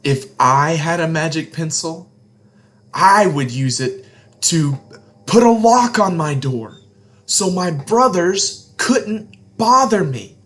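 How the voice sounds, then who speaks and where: A man reading a picture book aloud to children as a read-along host, with deliberate, emphatic phrasing. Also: on the high side, expressive, fairly bright tone. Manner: deliberate phrasing, emphatic, explains words to young listeners.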